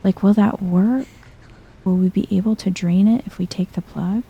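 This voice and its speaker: A soft-spoken woman giving chill, hushed ASMR commentary while thinking aloud through a video game. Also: mid-range voice, steady tone, normal speed, creaky, husky, dull audio.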